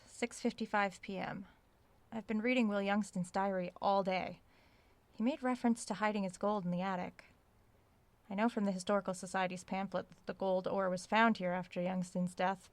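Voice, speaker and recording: A woman's voice: medium pitch, fairly even intonation, moderate pace, dry recording, lightly acted. An actress playing a curious, methodical researcher who thinks aloud as she records her log in a horror audio drama. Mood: pensive, curious.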